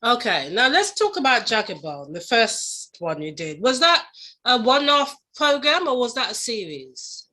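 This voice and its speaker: A woman's voice: a little high, sing-song, measured pace, pushed and bright. A woman interviewer speaking in a conversational style, putting questions and comments to a guest.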